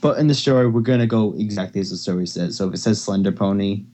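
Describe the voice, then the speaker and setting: A man's voice: lowish voice, fast talker, mellow. A man hosting an amateur reading of fan fiction, informal and sometimes stumbling over his words. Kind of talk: amateur dramatic story reading. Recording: dull lo-fi home microphone.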